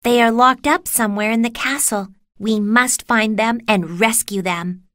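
A woman's voice: on the high side, moderate pace, fairly bright tone, no echo, clean studio sound, voice pushed up, natural voice. A woman voicing an earnest, determined young girl in a storybook, speaking eagerly.

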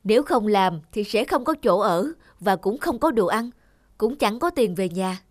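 A woman voicing a patient cartoon father who gently explains and teaches things to his young son. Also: mid-range voice, animated, normal speed, dry recording, clean studio sound, close to an everyday voice. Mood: instructive. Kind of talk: cartoon voice acting.

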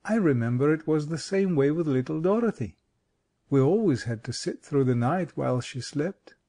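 Guy doing a kind thoughtful scarecrow king from a storybook, mellow dark voice, reassuring. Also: on the high side, lively intonation, moderate pace. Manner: reflective, reassuring, courteous.

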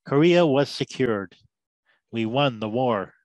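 An actor playing an embittered, drunken war veteran who tells battlefield tales in the grand cadence of a preacher. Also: male voice, medium pitch, expressive, slow pace, a little mellow, no echo, rough recording. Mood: mock-preaching. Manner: loquacious, sermon-like oratory with biblical cadence. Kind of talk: dramatised story, theatrical monologue.

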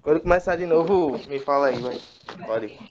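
A Brazilian gamer chats in loose, casual slang with friends. The voice sits at a middle pitch, with faint noise and clicks on the voice chat. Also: moderate pace, a little mellow, dull audio. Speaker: player in an online game with friends.

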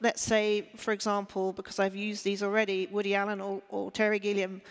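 A woman's voice: medium pitch, completely flat delivery, fairly quick. Woman panelist, thinks aloud, restarts, long hall reverb. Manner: thinks aloud, restarts, checks she is understood. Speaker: panel speaker. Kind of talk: panel discussion. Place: large hall on stage.

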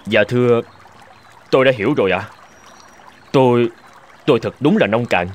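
A man voicing a naive, excitable young scholar in a cartoon moral tale. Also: medium pitch, normal speed, close to an everyday voice. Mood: humbled. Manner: excitable, protesting. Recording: music behind the voice.

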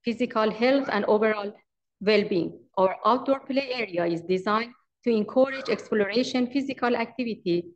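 A woman in her forties with an Iranian accent, reading a prepared presentation at a podium in a halting way as a business owner. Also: on the high side, muffled recording. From Iran. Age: 40s. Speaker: daycare owner and manager presenting. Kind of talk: prepared presentation at public meeting. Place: large council chamber. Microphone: podium microphone.

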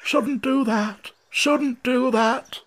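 A man voicing a very old frog servant who mutters in a hoarse, whispery, slow drawl for a storybook. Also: very high-pitched, pitched above the performer's own voice, heavily acted. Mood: grumbling.

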